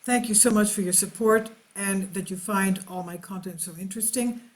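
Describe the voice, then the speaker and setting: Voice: medium pitch, normal speed. A channel host reading a news article aloud with casual asides, sometimes stumbling over words and correcting them.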